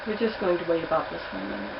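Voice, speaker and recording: A young woman chatting bluntly in a casual vlog, swearing now and then. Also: low-pitched. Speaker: vlogger. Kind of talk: casual accent challenge vlog. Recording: distant muffled home recording with heater noise.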